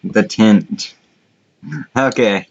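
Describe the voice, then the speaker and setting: Slow-talking man, dull lo-fi sound. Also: fairly low pitch. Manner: crude, swearing. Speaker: contest host. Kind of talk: casual chat, commentary. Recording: dull lo-fi voice chat audio.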